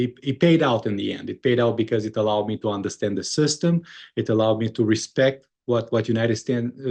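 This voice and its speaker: A middle-aged man from Romania with a Romanian accent, speaking earnestly as an interview guest, often pausing on "uh" and restarting his sentences. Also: medium pitch, a little mellow. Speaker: guest, moving company founder. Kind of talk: conversational interview.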